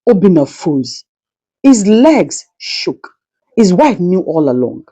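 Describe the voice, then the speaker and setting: A woman's voice: lowish voice, very animated, takes it slowly, mellow, slightly rough. A woman narrating a folktale as a scripted, dramatic storyteller who speaks straight to her listeners. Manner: addresses listeners directly, proverbial.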